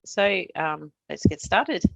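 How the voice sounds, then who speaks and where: A middle-aged woman from Australia, a translator, giving a prepared presentation in a measured, explanatory way with touches of humor. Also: on the low side, animated, creaky, fairly bright tone, no echo, low-quality recording.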